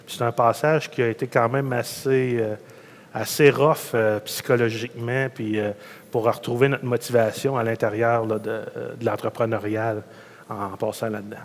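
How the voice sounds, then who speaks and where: A man with a Quebec French accent speaks in a medium-pitched voice. He recounts his experience informally as a panelist, and the room adds long reverb. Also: fairly even intonation, normal speed, vocal fry. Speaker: panelist, farm entrepreneur. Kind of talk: conference panel personal account. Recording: reverberant hall sound.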